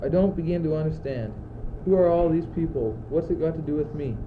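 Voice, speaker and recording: Anxious, brooding courtier, played by a male student, clipped declamatory lines, higher-pitched, school radio drama, heavy noise. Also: fairly quick, lightly acted. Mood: exasperated. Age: teenager. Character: anxious, exasperated young courtier, brooding and fatalistic. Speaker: student actor. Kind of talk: school radio play acting. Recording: muffled phone-like old tape, loud noise.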